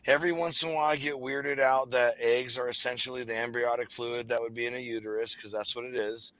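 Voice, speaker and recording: A man chatting casually with friends on a stream, excitable and rambling, quick to laugh. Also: medium pitch, no room sound, rough recording. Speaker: streamer co-host. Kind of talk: casual group chat.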